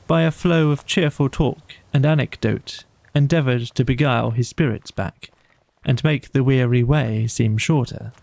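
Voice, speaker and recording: Slow, creaky male bedtime storyteller. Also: mid-range voice, a little mellow, no echo. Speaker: audiobook narrator. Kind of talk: scripted bedtime storybook narration.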